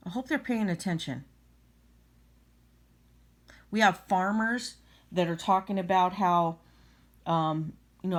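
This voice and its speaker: Lowish-voiced woman, halting political commentary. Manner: halting, emphatic. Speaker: vlogger commenting on news.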